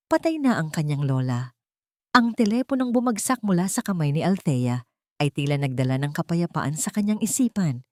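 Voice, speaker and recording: A woman's voice: deep voice, dry recording, clean studio sound. A woman reading a scripted love story as narrator, in a solemn, melodramatic manner.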